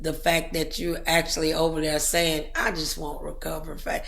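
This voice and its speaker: A woman's voice: low-pitched, little pitch movement, normal speed, gravelly, a bit bright, roomy sound, a little hiss or hum. A woman hosting a talk show, speaking in an encouraging, preachy way full of motivational sayings.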